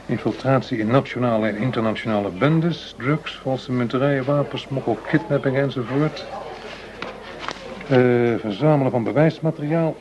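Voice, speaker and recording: An actor playing a cautious, calculating justice minister in a radio play, speaking in deliberate, formal phrases. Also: male voice, medium pitch, muffled recording, lightly acted.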